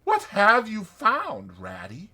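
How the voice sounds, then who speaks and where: A man voicing a plaintive, grumbling little male mole with drawn-out complaints for a dramatized audiobook. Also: high voice, wildly swooping pitch, bright, forceful tone, pitched above the performer's own voice, full character voice. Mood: grumbling, impatient.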